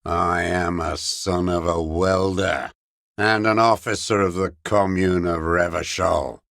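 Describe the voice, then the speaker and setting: An actor playing a bitter old communist deserter in a video game, scornful and unrepentant, with a gravelly voice and a contemptuous, sneering manner. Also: male voice, low-pitched, fairly bright tone, dry recording, studio quality, exaggerated voice. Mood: proud, scornful. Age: older. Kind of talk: dramatic video game character dialogue.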